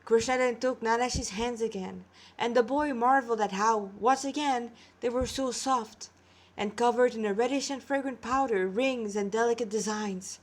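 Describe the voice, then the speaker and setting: A woman with a fairly high voice reads fiction aloud into a tablet. She sounds tired but narrates with drama, in the informal way of an amateur storyteller. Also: moderate pace. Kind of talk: narrated story reading with character dialogue. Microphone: tablet recording.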